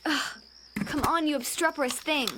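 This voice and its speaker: Woman playing exasperated high-voiced alien young woman. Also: moderate pace, background noise, natural voice. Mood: irritated. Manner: formal wording, sarcastic, exasperated. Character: exasperated, stubborn alien young woman repairing stolen technology. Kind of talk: audio drama acting.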